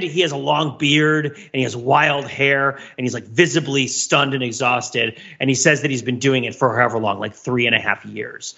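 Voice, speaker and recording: Bright-voiced analytical man, slightly dull recording. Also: mid-range voice. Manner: analytical, careful about fine distinctions. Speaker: podcast co-host. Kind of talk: casual film discussion.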